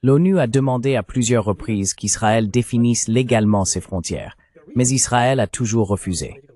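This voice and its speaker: A man reading a measured, explanatory French voice-over of an interview. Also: medium pitch, dark tone. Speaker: translation voice-over.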